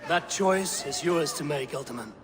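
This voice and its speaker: Actor as a bold, higher-pitched male Viking warrior. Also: moderate pace, lightly acted. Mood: steady, wry. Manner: assured, terse. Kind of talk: video game cutscene dialogue. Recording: clear outdoor ambient noise behind.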